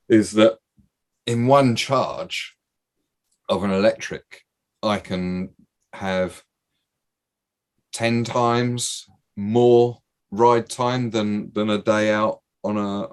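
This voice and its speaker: A man's voice: lowish voice, slow pace, pauses often. A man in his forties hosting a casual podcast chat, teasing his guests and swearing easily. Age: 40s. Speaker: podcast host.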